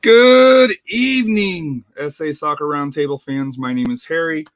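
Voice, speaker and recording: A man's voice: a little high, a little slow, a little hiss or hum. A man, hosting a casual soccer talk show and rambling with lots of fillers. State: slightly raspy voice. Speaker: podcast host.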